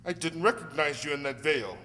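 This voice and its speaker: A man's voice: fairly high pitch, lively intonation, a little fast, pushed and bright. A man playing a polite, earnest lawyer in an amateur radio drama, courteous and hesitant, baffled and disapproving. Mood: polite, subdued. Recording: reverberant hall, some noise and hum.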